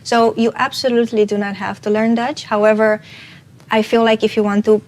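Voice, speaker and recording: A woman's voice: fairly high pitch, little pitch movement, a little fast, a little mellow, slight background noise. A young woman from Romania, an international student guest, talking earnestly in a casual panel chat with many restarts. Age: young adult.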